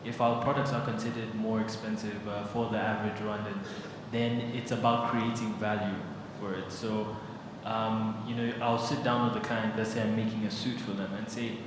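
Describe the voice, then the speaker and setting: A fashion designer speaking on a conference panel, thoughtful, with many fillers and restarts. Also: male voice, fairly low pitch, flat delivery, normal speed, few pauses. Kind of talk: panel discussion. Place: large conference hall on stage. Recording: very echoey hall with background noise.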